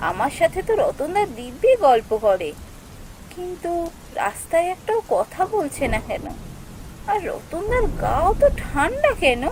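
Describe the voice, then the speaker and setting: A woman playing an anxious young female office worker in a cartoon story, speaking in an expressive, emotional way. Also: extremely high pitch, a little hiss or hum, heavily acted, low-quality recording. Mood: uneasy, puzzled. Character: anxious young female office worker Soumi, telling her ghost encounter. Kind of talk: dramatic scripted story narration and dialogue.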